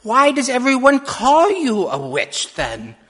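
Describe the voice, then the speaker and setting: A woman's voice: a little high, wildly swooping pitch, takes it slowly, fairly bright tone, breathy edge, voice pushed up, heavily acted. A woman voicing an orphaned little girl, frightened and curious, for a dramatic told story. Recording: long hall reverb.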